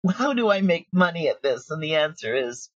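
A woman talking casually as an interview guest, telling stories in an animated way with quoted asides. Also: low-pitched, moderate pace, no room sound, lo-fi sound. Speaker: audio drama creator, interview guest.

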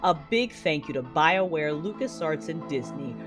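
Low-voiced woman reading podcast credits. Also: expressive, normal speed, music in the background. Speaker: show presenter reading credits. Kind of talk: scripted podcast outro.

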